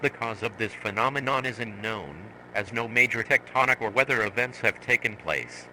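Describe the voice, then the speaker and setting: Very sharp, bright synthetic male alert voice. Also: lowish voice, normal speed, a little hiss or hum, poor audio. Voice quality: synthetic text-to-speech voice. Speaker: emergency alert announcer. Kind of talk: scripted emergency broadcast announcement.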